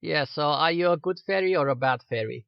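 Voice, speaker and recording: A male podcast host asks short, friendly questions in a fairly high voice. The sound is dry and close, with a dull, lo-fi tone. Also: a little fast, vocal fry, smooth, no room sound. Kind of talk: casual interview questions.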